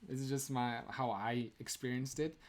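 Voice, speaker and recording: A man's voice: medium pitch, steady tone, normal speed. A young man from Romania, the host of a live stream, chatting casually with viewers, laughing often and filling his talk with "like". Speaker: streamer answering chat.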